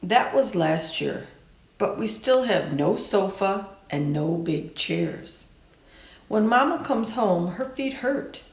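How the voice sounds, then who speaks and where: Female storybook reader, mid-pitched, slow and deliberate, echoey room. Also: lively intonation, gravelly. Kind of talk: expressive read-aloud of a children's picture book.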